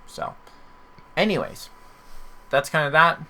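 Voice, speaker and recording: Gravelly, bright-voiced man podcasting. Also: fairly high pitch, very animated, slow pace. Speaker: podcast host. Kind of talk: casual podcast chat.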